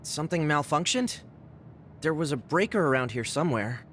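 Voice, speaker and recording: High-voiced practical young techie. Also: male voice, sing-song, normal speed, a bit bright, a little hiss or hum. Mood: focused, matter-of-fact. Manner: matter-of-fact. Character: practical young male tech expert, matter-of-fact. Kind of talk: anime game voice acting. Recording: music clearly behind the voice.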